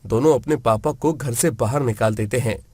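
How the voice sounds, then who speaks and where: A man's voice: medium pitch, moderate pace, a little mellow, husky, dry recording, studio quality. A man narrating a scripted moral story as a storyteller.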